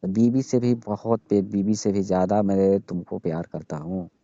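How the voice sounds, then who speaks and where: Deep, very dark-voiced pleading young man. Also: moderate pace, vocal fry, no echo. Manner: pleading, insistent. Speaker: caller, jilted lover. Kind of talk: casual emotional phone conversation. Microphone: phone call. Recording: dull lo-fi phone call recording.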